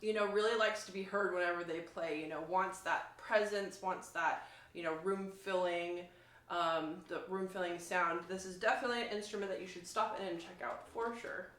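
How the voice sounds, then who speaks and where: A woman chatting casually as she demonstrates an instrument, enthusiastic and informal, with a halting, searching delivery. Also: fairly low pitch, steady tone, fairly bright tone. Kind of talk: casual product demo chat. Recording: roomy, echoing sound.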